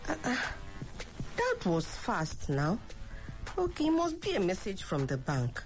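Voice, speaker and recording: A woman's voice: mid-range voice, wildly swooping pitch, slow pace, loud music behind the voice. A woman playing a trusting older lady. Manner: trusting, then wailing in dismay. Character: older Nigerian woman, trusting bank customer. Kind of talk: radio skit acting.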